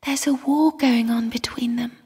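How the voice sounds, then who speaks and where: An actress playing a mysterious, sorrowful young spirit in a video game, speaking in a hushed, solemn, airy whisper. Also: female voice, a little high, fairly even intonation, breathy edge. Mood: urgent warning. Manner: slow, hushed, solemn delivery. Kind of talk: video game character voice acting. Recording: long cavernous reverb.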